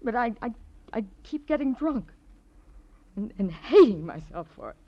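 Middle-aged drinking socialite, high swooping voice, pleading, emotional, vintage radio drama, dull muffled recording. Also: female voice, big pitch swings, warm tone. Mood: anguished, ashamed. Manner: pleading, emotional, haltingly repeating herself. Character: desperate middle-aged socialite drunk with a past. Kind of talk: old-time radio drama acting.

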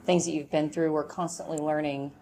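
A woman's voice: sits low, level tone, fairly quick, dark tone. Upbeat woman hosting a podcast. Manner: enthusiastic, chatty. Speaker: podcast host.

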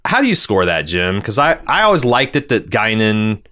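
Male podcast co-host, low-pitched but bright and punchy, casual chat and mail reading. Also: very animated, moderate pace. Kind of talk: casual chat and reading listener messages aloud.

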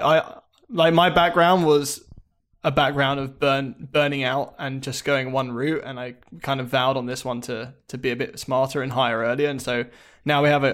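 Man, channel co-founder, easygoing rambling talker, mid pitch. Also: fairly bright tone. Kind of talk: casual podcast chat.

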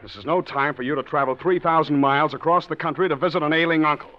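An actor playing a bitter, sarcastic husband in a 1940s radio drama. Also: male voice, a little high, quick pace, lightly acted. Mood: harsh. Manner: sarcastic, curt. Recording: dull old radio recording.

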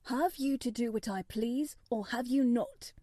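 A woman's voice: on the high side, sing-song, normal speed, dark tone, dry recording, studio quality. A woman voicing a spoiled, sickly young boy of wealth who talks in a grand, commanding way in a storybook reading. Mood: imperious.

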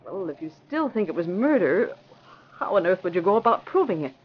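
A woman's voice: lowish voice, sing-song, a little fast, breathy edge. Earnest, loyal young female helper. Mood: puzzled, concerned. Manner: earnest, obliging. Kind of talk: 1940s radio drama acting. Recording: muffled vintage radio recording.

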